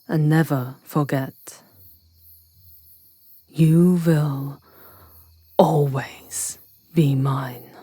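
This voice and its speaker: Woman acting a slow, imperious, possessive vampire countess. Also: low voice, warm tone, dry recording, clean studio sound, exaggerated voice. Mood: bitter menace. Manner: slow, imperious, theatrical delivery. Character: powerful centuries-old female vampire countess, possessive, haughty, lovelorn. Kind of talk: dramatic ASMR roleplay acting.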